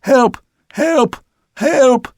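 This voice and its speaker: A man's voice: very high voice, drawn-out words, dry recording, clean studio sound, voice pushed up, exaggerated voice. A man voicing a terrified sailor in a sea-story audiobook, screaming and gasping for help. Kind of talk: audiobook character voice.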